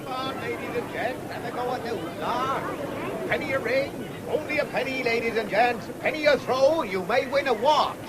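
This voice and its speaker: An actor playing a brisk fairground stallholder with an English accent, calling out drawn-out sales patter in a 1970s radio drama. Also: male voice, very high-pitched, a loud crowd. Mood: hawking. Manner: barker's drawn-out sales calls. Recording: noisy old radio broadcast, outdoor fairground din.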